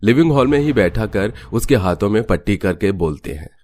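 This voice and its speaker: Low-voiced man narrating a story. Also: animated, a little fast, darkish tone. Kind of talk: scripted storytelling narration for cartoon.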